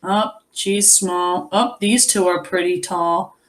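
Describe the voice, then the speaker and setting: A woman reading a picture book aloud as a teacher, expressively, pausing to explain and think aloud for young children. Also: lowish voice, fairly even intonation, low-quality recording.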